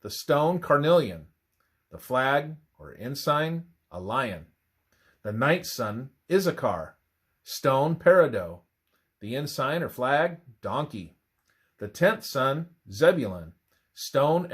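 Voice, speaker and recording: Slow, husky male reader with swinging intonation. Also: mid-range voice, sing-song, a bit bright. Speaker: reader of a religious presentation. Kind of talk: scripted religious narration, read aloud.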